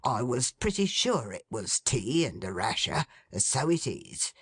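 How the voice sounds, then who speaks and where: Woman reading as old trotty, low voice, bustling and fond, slow. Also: big pitch swings, breathy edge, no echo, full character voice. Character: cheerful, excitable poor old man Trotty.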